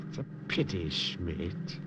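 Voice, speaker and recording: Menacing officer, fake polite then barky, swingy pitch, old muffled radio recording. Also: male voice, full character voice. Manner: silky mock politeness, turning to barked commands. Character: sly, menacing German army commandant, falsely courteous, given to barking orders. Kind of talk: 1950s radio drama acting. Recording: muffled vintage radio recording, light noise.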